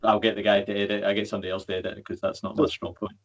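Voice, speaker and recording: A man's voice: lowish voice, level tone, racing. A man hosting a podcast, talking in a laid-back, casual way. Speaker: podcast host. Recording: dull lo-fi sound.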